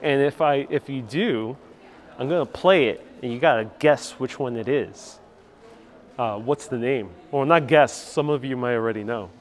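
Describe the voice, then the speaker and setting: A man's voice: medium pitch, normal speed. A man hosting a casual live stream outdoors in a city, chatting with viewers and reading out their comments. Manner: chatty and upbeat, thanks viewers by name. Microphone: wireless clip-on mic.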